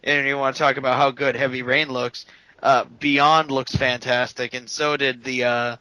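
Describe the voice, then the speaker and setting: A man talks through video games in a casual podcast, bright and forward in tone. He holds forth with strong opinions, often tripping over himself and restarting his sentences. The sound is dry and close. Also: mid-range voice, flat delivery, moderate pace, no echo, rough recording. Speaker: podcast co-host. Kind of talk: casual gaming chat.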